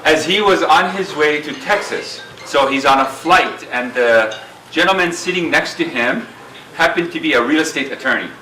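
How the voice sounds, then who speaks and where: A middle-aged man with a booming voice giving an earnest speech at a community dinner, telling stories with many fillers. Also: normal speed, pushed and bright, slight background noise. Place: large banquet hall. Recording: roomy hall sound, distant mic.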